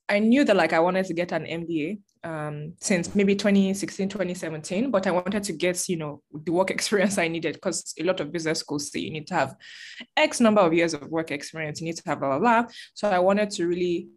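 A young woman from Nigeria, chatting casually as a podcast guest and laughing often. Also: lowish voice. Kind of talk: casual chat.

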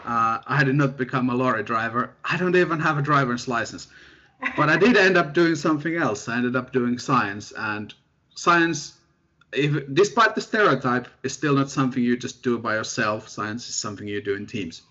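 Relaxed young man from Finland, lo-fi. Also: medium pitch, normal speed. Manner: relaxed, self-deprecating, many fillers. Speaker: guest researcher. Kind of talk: casual interview chat. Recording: dull lo-fi sound.

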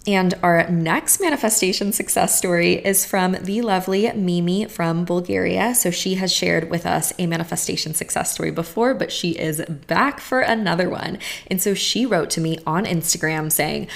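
A young woman hosts her own podcast and chats to her listeners in a gushing, friendly way. Her voice sits fairly low and often drops into a creaky vocal fry. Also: normal speed. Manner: effusive, addresses listeners as friends and you guys. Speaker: podcast host. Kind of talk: casual podcast monologue.

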